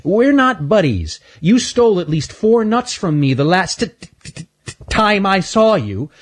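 Stuttering nervous young male squirrel voiced by a man, high squeaky pitch, lively swoops, storybook character. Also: measured pace, heavily acted.

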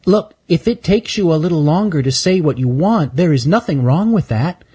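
A sweet little girl squirrel from a children's story, gentle and friendly, asking soft curious questions, as a man puts her on. The voice is lifted high, with a light husky grain. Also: lively intonation, moderate pace.

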